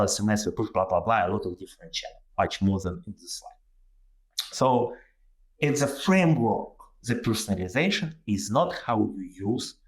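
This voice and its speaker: A man with a Ukrainian accent, a native Ukrainian speaker, giving a webinar presentation over a video call, with many fillers and restarts. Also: fairly low pitch. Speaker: guest presenter.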